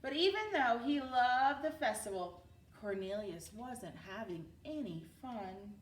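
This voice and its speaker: A woman narrates a children's story in an unhurried, animated way. She sounds a little distant in a roomy space. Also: on the high side. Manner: expressive read-aloud delivery. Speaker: teacher reading a storybook aloud. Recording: roomy, distant mic with background noise.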